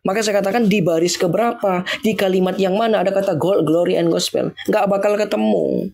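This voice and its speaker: Emphatic man with gravelly high voice. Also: fairly quick, darkish tone. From Indonesia. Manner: emphatic, repetitive, mocking. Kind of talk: informal livestream talk answering viewers.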